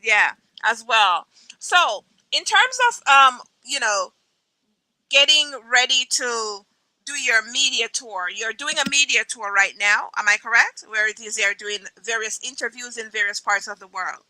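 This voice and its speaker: A woman hosting an interview, upbeat, with frequent ums, giving shout-outs. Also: on the high side, expressive, a little slow, dry recording, low-quality recording. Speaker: interview host.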